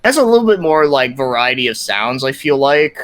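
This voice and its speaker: A man's voice: medium pitch, sing-song, fairly quick, a bit bright, no room sound. A young man chatting casually as a podcast guest about his interests, enthusiastic, slangy and rambling. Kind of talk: casual gaming podcast chat.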